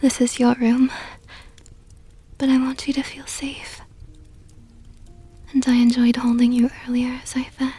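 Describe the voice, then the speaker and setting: A woman voicing a possessive, doting vampire countess in an intimate ASMR roleplay, whispering softly and slowly with a tender, teasing, coaxing manner. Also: high voice, flat delivery, faint music behind. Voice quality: breathy, soft whisper. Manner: slow, coaxing, affectionate murmur.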